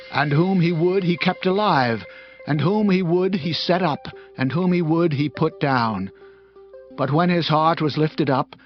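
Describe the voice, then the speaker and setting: A man's voice: fairly high pitch, natural voice. A man playing a grave prophet who rebukes a proud king in a solemn, declamatory way, in a dramatized scripture reading. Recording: dull lo-fi audio with music.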